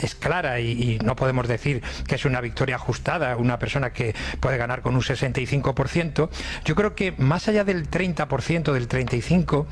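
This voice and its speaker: A man's voice: medium pitch, fairly quick, slight background noise. A man with a Castilian Spanish accent debating football as a pundit, emphatic and repeating words for stress. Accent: Castilian Spanish from Spain. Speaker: sports radio pundit.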